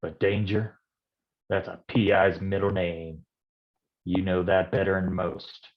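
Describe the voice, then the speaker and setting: An actor playing a world-weary, hard-drinking private detective with slangy, hardboiled delivery in a film noir staged reading. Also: male voice, sits low, flat delivery, unhurried, muffled recording, a little hiss or hum. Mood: gruff, fond.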